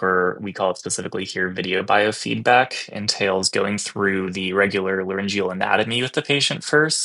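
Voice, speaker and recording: A man's voice: low voice, gravelly, sharp tone. A young man, a physician guest, giving explanatory answers in an interview.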